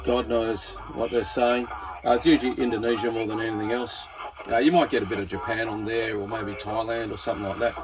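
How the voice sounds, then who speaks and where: A man's voice: fairly low pitch, flat delivery, a little fast, a crowd in the background. An Australian man presenting a casual hobbyist product walkthrough in a laid-back, informal, slightly hesitant way.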